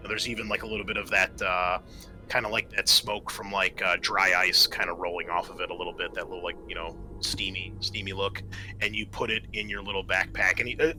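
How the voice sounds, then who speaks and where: Bright-voiced man running a tabletop game. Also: low voice, flat delivery, brisk, soft background music. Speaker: game master. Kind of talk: narrating a tabletop roleplaying game, improvised.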